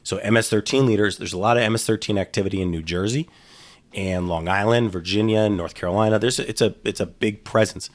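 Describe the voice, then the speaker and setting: A man's voice: fairly low pitch, quick pace. A middle-aged man talking casually in an interview, rambling with frequent restarts and fillers. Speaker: guest, former FBI agent.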